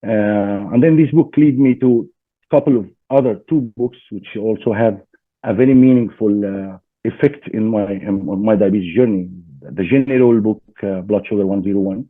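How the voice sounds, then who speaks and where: A man from Egypt with an Egyptian accent, a guest in a casual interview, talking haltingly with many fillers over a video call. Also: on the low side, no echo. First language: Arabic. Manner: hesitant, many fillers and restarts. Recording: dry tinny phone-like call audio.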